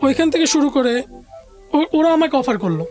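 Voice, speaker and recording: A woman with a clearly high voice and a Bangladeshi accent shares a personal story as an interview guest. She talks conversationally, dropping English words into her speech, with faint music behind her. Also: big pitch swings, normal speed, darkish tone. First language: Bengali. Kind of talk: recounting a personal life story.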